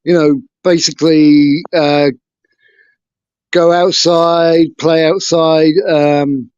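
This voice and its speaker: A man's voice: a little high, flat delivery, slow, darkish tone, clear, resonant tone, no room sound, muffled recording. A man from England, talking frankly and hesitantly about his life as an interview guest over a video call. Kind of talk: casual interview talk.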